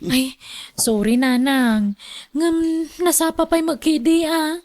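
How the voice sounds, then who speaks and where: High-voiced young wife. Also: female voice, steady tone, slow, darkish tone. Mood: polite, apologetic. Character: young new wife, meekly polite to her mother-in-law. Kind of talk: radio drama acting in Ilocano.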